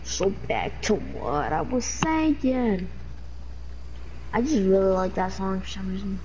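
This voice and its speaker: A young woman chatting casually while playing an online game, excitable and chatty, her voice leaping into high yelps when danger comes. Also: takes it slowly, poor audio. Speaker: gamer streaming her play. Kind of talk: casual gaming chat. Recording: game audio noise behind.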